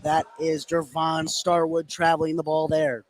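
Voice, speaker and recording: Higher-voiced American man calling basketball over loud noise. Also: monotone, measured pace. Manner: running, descriptive call of the action. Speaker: play-by-play radio commentator. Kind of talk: live basketball commentary. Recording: loud gym noise behind live broadcast. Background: noisy indoor basketball gym.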